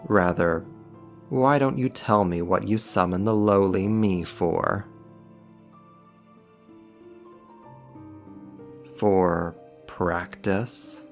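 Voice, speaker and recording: Deep male demon played by a man, unhurried, sly, sarcastic, condescending, ASMR roleplay, music behind. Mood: mock servile, teasing. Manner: sarcastic, teasing, drawn-out mock politeness. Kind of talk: ASMR roleplay, slow scripted monologue to the listener.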